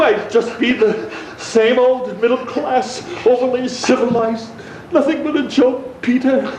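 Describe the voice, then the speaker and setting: A man's voice: extremely high pitch, recorded from a distance. A man acting in a stage play as a menacing, unhinged middle-class man, theatrical and sarcastic, ranting with mock politeness. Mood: mock regret. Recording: echoey, noisy stage recording from afar.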